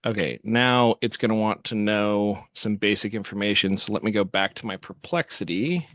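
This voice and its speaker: A man giving a casual software walkthrough as host, thinking aloud as he works. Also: lowish voice, moderate pace, dry recording. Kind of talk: casual screen-share walkthrough.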